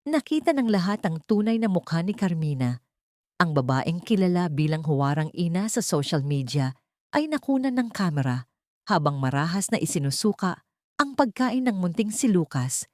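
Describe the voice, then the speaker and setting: A woman's voice: lowish voice, darkish tone, no echo, studio quality. A woman narrating a scripted story in a dramatic style, as for an audio drama.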